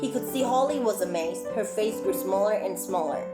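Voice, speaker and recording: A woman reading a story aloud for children as a clown presenter, expressive and exclaiming, with chatty asides to her young listeners. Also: mid-range voice, moderate pace. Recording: roomy echo, music faintly behind.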